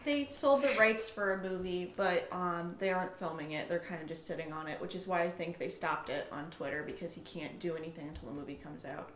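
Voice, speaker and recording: A woman's voice: lowish voice, brisk, hardly any pauses, slight background noise. Enthusiastic young woman in an echoey room. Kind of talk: casual chat.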